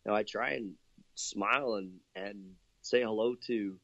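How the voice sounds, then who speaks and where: A cheerful, chatty man from Canada speaks as an interview guest. His voice sits a little low and sounds very dry, with no room around it. The line is slightly dull and lo-fi. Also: steady tone, measured pace, fairly bright tone, no room sound. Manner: upbeat, chatty, laughs often, many fillers. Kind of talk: casual interview talk.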